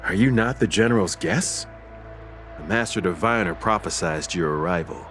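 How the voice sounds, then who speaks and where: Deep-voiced, earnest soldier. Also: male voice, normal speed, fairly bright tone, faint music behind. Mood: earnest, worried. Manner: earnest, formal. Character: dutiful, anxious male fantasy soldier on watch. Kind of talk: English video game dub acting.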